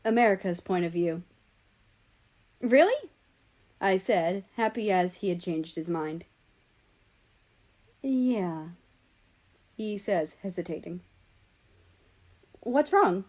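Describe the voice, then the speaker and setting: A woman reading fiction aloud as an expressive narrator. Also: fairly low pitch, normal speed, few pauses. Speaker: story narrator.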